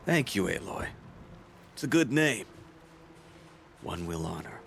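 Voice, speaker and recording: Solemn, low-voiced outcast hunter. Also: male voice, big pitch swings, creaky, a bit bright, a little hiss or hum, full character voice. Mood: solemn pride. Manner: measured, earnest, questioning. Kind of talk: video game cutscene acting.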